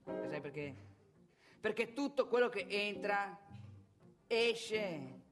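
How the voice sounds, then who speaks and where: A woman's voice: mid-range voice, lively intonation, takes it slowly, music in the background. A woman playing a brash, bitter, boastful womanising divorced salesman in a theatrical monologue, with colloquial, crude, volatile delivery and rhetorical questions. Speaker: stage monologue performer. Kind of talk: theatrical monologue addressed to audience.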